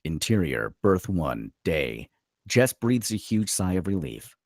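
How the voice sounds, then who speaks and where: A man's voice: sits low, sing-song, slow, no room sound, studio quality. A man reading stage directions as dramatic scripted narration at a screenplay table read.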